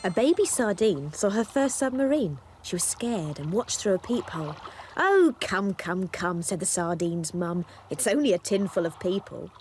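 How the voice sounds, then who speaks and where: A man voicing a prim, high-voiced lady who recites a silly rhyme in a singsong, theatrical way. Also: lively intonation, normal speed, a little mellow, faint music behind. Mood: playful.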